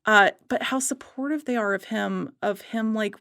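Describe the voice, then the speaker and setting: A woman's voice: medium pitch, moderate pace, creaky, bright, forceful tone, no echo, clean studio sound. A woman appearing as a podcast guest, casually and enthusiastically discussing things.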